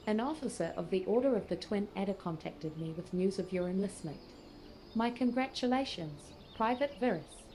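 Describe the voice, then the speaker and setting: A woman's voice: mid-range voice, warm tone, slight background noise, natural voice. A synthetic female voice playing a gracious, formal leader of an order in a fantasy game, speaking politely. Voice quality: synthetic text-to-speech delivery. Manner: polite, formal, archaic wording. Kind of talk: scripted fantasy game dialogue. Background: faint music.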